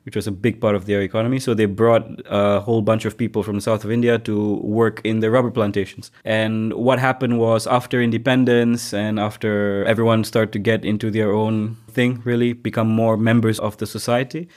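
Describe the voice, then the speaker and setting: Young man from Malaysia, lowish voice, reflective and unhurried, casual interview talk. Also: little pitch movement, a little mellow. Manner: reflective, thinks aloud with restarts. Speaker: international student.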